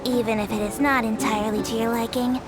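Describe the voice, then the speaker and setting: A woman voicing a high, polite little creature tour guide over music. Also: level tone, fast talker, natural voice. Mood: formal, polite. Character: small polite female Melusine creature, dutiful tour guide. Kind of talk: scripted video game character announcements.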